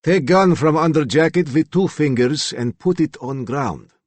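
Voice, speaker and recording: A man voicing a young, calm and dangerous Yugoslavian gunman with a Slavic accent and clipped broken English, in an audiobook. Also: a little high, a little slow, dry recording, voice pushed up. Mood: calm menace. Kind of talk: audiobook character dialogue.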